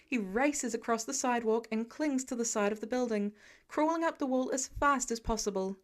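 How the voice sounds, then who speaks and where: A woman reading scripted fiction as an expressive audiobook-style narrator. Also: on the high side, normal speed. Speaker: podfic narrator.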